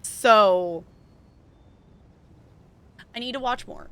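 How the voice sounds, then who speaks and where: A woman's voice: medium pitch, huge pitch swings, extremely slow, creaky, a bit bright, clear, resonant tone. A woman streaming a game, talking casually and rambling with lots of fillers and small laughs. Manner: rambling, chatty, frequent "like" and laughs. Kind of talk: casual gaming stream chat.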